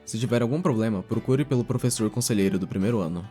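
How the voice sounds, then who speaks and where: A man's voice: on the low side, fast, dark tone, husky. A man playing a businesslike, curt school front-desk secretary in an audio novel. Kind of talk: audio novel character acting. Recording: music under the voice.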